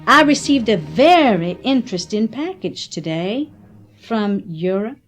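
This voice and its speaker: A woman's voice: on the high side, expressive, a little slow, soft background music. A woman playing a defiant, calculating oilwoman and blackmailer in an audio drama. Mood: smugly triumphant.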